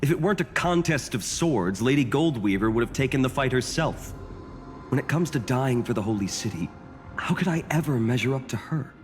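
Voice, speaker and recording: A man's voice: mid-range voice, expressive, a little fast, close to an everyday voice. A man playing a self-deprecating young common soldier, earnest and humble. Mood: self-doubting. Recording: long hall reverb with faint music.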